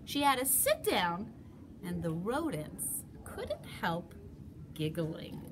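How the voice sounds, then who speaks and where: A woman teacher reading a picture book aloud, telling it slowly and expressively. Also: lowish voice, noisy background. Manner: slow, expressive storytelling.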